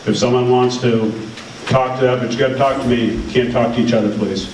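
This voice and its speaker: A man moderates a town meeting, calling for seconds and discussion in a brisk, businesslike way. He is picked up from across a large echoey hall. A steady murmur of noise sits underneath him. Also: mid-range voice. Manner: brisk, procedural. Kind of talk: running a public meeting. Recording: echoey hall, far from mic, background noise.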